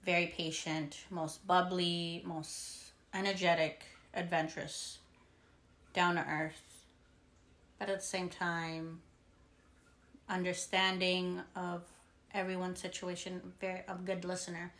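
A woman in her thirties co-hosting a podcast, talking slowly and searching for words with restarts. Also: fairly low pitch, little pitch movement, a bit bright. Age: 30s. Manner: slow, searching, piles up restarts. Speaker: podcast co-host. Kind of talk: casual chat. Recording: echoey room.

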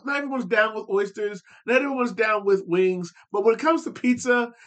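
A man's voice: high-pitched, fairly quick, a bit bright. A man co-hosting a podcast, rambling loosely in casual chat with lots of restarts. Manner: rambling, many fillers and restarts. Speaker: podcast co-host.

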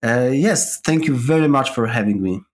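A man's voice: medium pitch, animated, normal speed. A man from Poland with a Polish accent, a podcast guest who explains his views with examples on a call. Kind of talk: casual interview chat. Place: remote call.

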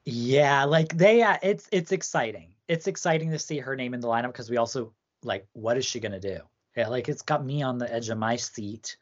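Woman, low voice, loose rambling co-host banter, muffled lo-fi sound. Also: moderate pace, few pauses. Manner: chatty, rambling, heavy use of "like". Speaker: podcast co-host. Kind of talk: casual chat about song contests.

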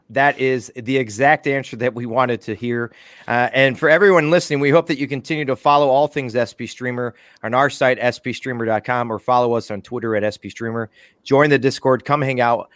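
A man's voice: mid-range voice, fairly bright tone, no echo. Male podcast host, playful and self-deprecating announcer patter, close and dry. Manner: jokey, self-mocking, chatty announcer patter. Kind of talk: casual podcast chat.

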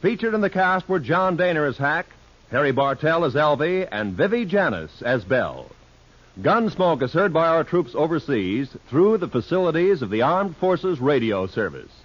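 A man's voice: fairly high pitch, big pitch swings, normal speed. A man announcing in formal, declamatory 1950s network radio style, reading from a script. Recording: dull old 1950s radio broadcast, music behind.

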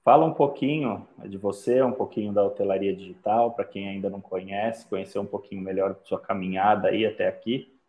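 A man's voice: fairly low pitch, steady tone, brisk. A man hosting a live online interview, informal and friendly, keeping his remarks short. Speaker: host. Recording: tinny phone-like audio in an echoey room.

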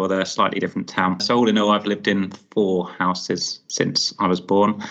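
A man from Essex introducing himself as a panel guest, brisk and dry. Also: lowish voice, a bit bright, highs cut off. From Essex, England. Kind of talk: casual conversation.

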